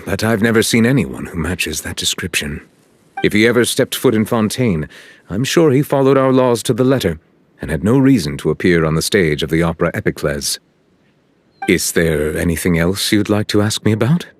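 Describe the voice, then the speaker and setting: An actor playing a solemn, courteous chief justice in a video game, speaking in formal, measured, stately phrases. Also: male voice, low voice, animated, slight background noise. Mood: formal, composed. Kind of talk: scripted game cutscene dialogue.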